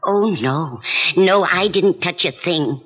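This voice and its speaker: A woman's voice: on the low side, lively intonation, takes it slowly, husky, lo-fi sound, exaggerated voice. An actress playing a feisty, slangy old female news vendor and crook in a 1940s radio drama. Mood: wheedling. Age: older. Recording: vintage 1940s radio recording.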